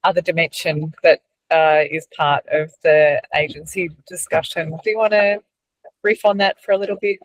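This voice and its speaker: Low-voiced woman podcast host. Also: steady tone, moderate pace, dry recording, poor audio. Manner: affirming, frequent soft "mm" responses. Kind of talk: interview hosting.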